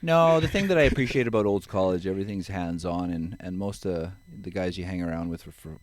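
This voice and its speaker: A man's voice: low voice, quick pace, no room sound. A middle-aged man from the Netherlands, a guest in an interview, telling stories in an easygoing way and chuckling along. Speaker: guest, genetics advisor. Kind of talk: relaxed interview storytelling.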